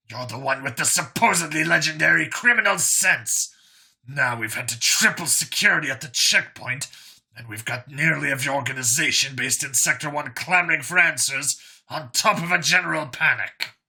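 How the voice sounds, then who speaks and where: A man's voice: a little high, lively intonation, normal speed, gravelly, bright, forceful tone, slightly rough, exaggerated voice. A man voicing an indignant, accusing male alien council chairman in a science fiction audiobook. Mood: angry, accusing. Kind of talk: audiobook character acting.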